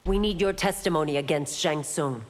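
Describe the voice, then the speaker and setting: A woman's voice: low voice, moderate pace, close to an everyday voice. A woman playing a stern, proud female constable in a fantasy video game, formal and authoritative. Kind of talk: video game character dialogue. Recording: long hall reverb, faint noise.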